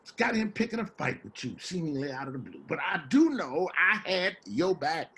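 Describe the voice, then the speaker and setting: An actor playing a rambling, wheedling homeless man with American street slang and a husky, stammering delivery, in a stage play reading. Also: fairly high pitch, big pitch swings, normal speed, pushed and bright, slight background noise, exaggerated voice. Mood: argumentative. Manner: stammering, repeats words, folksy street slang.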